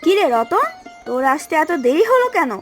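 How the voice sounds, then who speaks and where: A very high-voiced, ill-tempered village housewife played by a woman from a cartoon. She nags and scolds with heavy sarcasm, and music plays behind her. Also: sing-song, fairly quick. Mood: sarcastic scolding. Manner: sarcastic, nagging. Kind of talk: animated cartoon voice acting.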